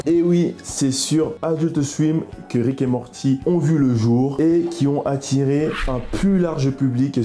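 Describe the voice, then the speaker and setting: A man's voice: medium pitch, mellow. A man in his early twenties narrating in a chatty, informal video-essay style, often addressing viewers directly. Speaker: video creator narrating cartoon history. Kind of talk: casual YouTube narration mixing script and asides.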